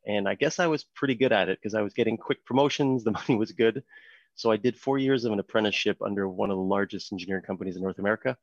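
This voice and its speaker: A French Canadian man in his thirties, French being his first language, talks through his career as a podcast guest in a fairly low voice. He rambles easily, with ums and restarts. The audio sounds dull and dry, like a remote call. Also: very fast, no echo. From Montreal, Canada. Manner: relaxed storytelling with frequent ums and restarts. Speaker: podcast guest, company co-founder. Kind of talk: casual interview talk.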